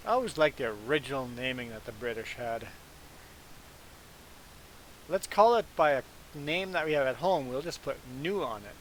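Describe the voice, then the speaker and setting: Man, native Low German speaker, from Canada, medium pitch, casual game-stream chat, hissy recording. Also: lively intonation, moderate pace, long pauses, poor audio. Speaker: livestream gaming host. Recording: clear background hiss.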